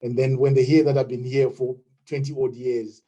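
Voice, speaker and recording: A man with a Zimbabwean accent, speaking on a video call in an online meeting and pausing often with fillers and restarts. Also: mid-range voice, little pitch movement, mellow, lo-fi sound. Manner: hesitant, many fillers and restarts. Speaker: webinar participant. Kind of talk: unscripted contribution in an online meeting.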